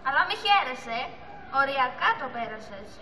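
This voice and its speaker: A woman's voice: high voice, sing-song, sharp tone, heavily acted. A teenage girl playing a small, lonely, anxious female sea turtle in an amateur school puppet play, with theatrical pleading. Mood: suspicious. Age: young teenager. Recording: echoey hall recording with audience noise.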